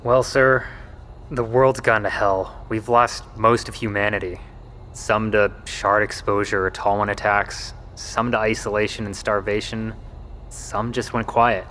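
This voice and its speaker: A deferential army technician in an audio drama. He has a lowish voice and lays things out in grim, formal, analytical order, with clear noise behind him. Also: little pitch movement, a bit bright. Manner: measured, methodical, formal. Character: deferential male military technician soldier, grimly analytical.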